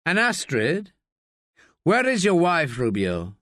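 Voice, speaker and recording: A man voicing a persistent foreign investigator who presses with calm, probing questions. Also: on the high side, slow pace, no room sound, clean studio sound, pitched above the performer's own voice, full character voice. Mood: pressing.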